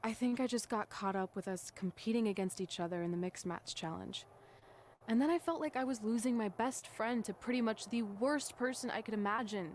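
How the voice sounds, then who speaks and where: A woman's voice: fairly high pitch, a little fast, darkish tone, slight background noise, close to an everyday voice. A woman voicing a wry young wrestler, a proud best friend, in a video game cutscene, sarcastic and grudging. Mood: grudgingly apologetic.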